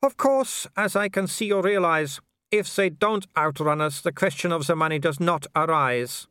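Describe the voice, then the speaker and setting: A man's voice: a little high, moderate pace, pushed and bright, clear, resonant tone, no echo, clean studio sound, voice pushed up. A man voicing a menacing, haughty werewolf aristocrat who taunts in formal, grandly scornful phrases in an audiobook story. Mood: taunting menace. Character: haughty, menacing male werewolf pack leader, foreign aristocrat.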